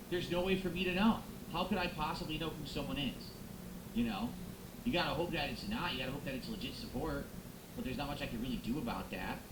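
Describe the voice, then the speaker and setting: A man live-streaming on a close microphone, chatting casually with viewers, thanking them and musing aloud as he goes. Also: on the high side. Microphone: close XLR microphone. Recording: echoey room with background noise.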